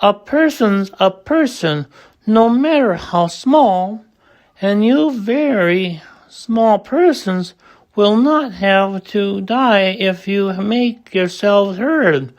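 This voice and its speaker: A man's voice: high voice, animated, drawn-out words, voice pushed up, full character voice. A man voicing a big, kindhearted, earnest elephant in a children's storybook, pleading and encouraging.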